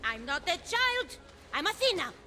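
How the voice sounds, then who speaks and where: A woman's voice: very high-pitched, sing-song, moderate pace, sharp tone, exaggerated voice. A woman voicing a small, angry, bossy child goddess in a video game, feisty and imperious, with music behind her. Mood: indignant. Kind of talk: animated video game voice acting.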